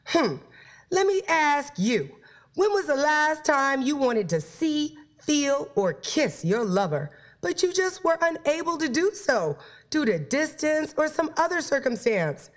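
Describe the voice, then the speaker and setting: A woman hosting a playful, flirty talk show about sex and relationships, her voice stuffed up from a cold. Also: sits high. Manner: playful, flirty, talks straight to listeners. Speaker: podcast host.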